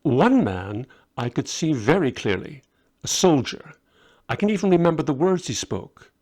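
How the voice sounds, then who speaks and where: A man's voice: a little high, sing-song, normal speed, darkish tone, pitched above the performer's own voice. A man reading the part of a learned male astrologer, proud yet anxious, explaining himself earnestly in a story. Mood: hesitant recollection.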